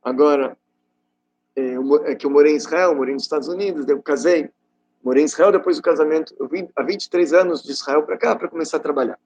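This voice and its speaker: A middle-aged man from Rio de Janeiro gives an informal religious talk as a rabbi, telling stories in a conversational, halting way with rhetorical questions. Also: a little high, darkish tone, a little hiss or hum. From Rio de Janeiro, Brazil. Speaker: rabbi giving a class.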